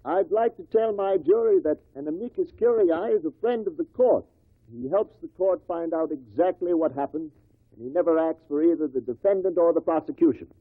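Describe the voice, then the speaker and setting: An actor playing an official, plain-spoken coroner who formally explains matters to a jury in a 1950s radio drama. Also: male voice, a little high, brisk, slightly rough, no room sound. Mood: official, matter-of-fact. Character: brisk, official male coroner presiding over an inquest. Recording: tinny, dry old radio recording.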